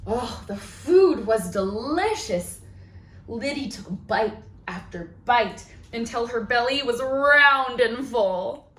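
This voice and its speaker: A woman's voice: on the high side, animated, takes it slowly, fairly bright tone. A woman hosting storytime for young children, reading aloud and talking in an exaggerated, sing-song way. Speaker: children's librarian, storytime host. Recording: echoey room.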